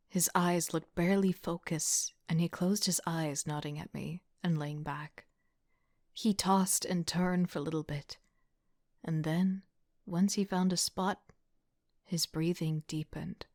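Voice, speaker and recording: A woman playing a young team leader who narrates an audio drama in a reflective, softly breathy, introspective way. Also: lowish voice, fairly even intonation, takes it slowly, no echo, studio quality.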